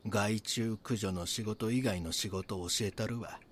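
An actor with a Kansai accent playing a brazen, scheming small-time swindler in a voice drama, talking in a rough, conspiratorial, boastful way. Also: male voice, fairly low pitch, fairly even intonation, a little slow, slight background noise, close to an everyday voice. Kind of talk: voice drama acting, true-crime reenactment.

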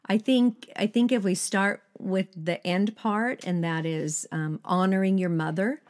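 A woman's voice: mid-range voice, fairly even intonation, unhurried. An older woman from Sweden co-hosting a ministry talk show, speaking in an earnest, chatty way with frequent fillers. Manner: warm, earnest, laughs often, many fillers. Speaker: ministry co-host, pastor's wife. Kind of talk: casual conversational chat.

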